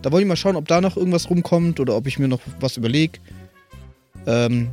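A man with a slightly high voice talks casually about role-play characters. He sounds like a podcast co-host in easy conversation, with music playing faintly behind him. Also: animated, brisk, darkish tone. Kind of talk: casual chat about creating game characters. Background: background music.

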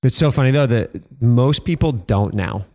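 A man's voice: mid-range voice, normal speed, gravelly, a little mellow. An American man from Colorado, chatting casually as a company co-founder being interviewed on a podcast. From Colorado, United States. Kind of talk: casual chat.

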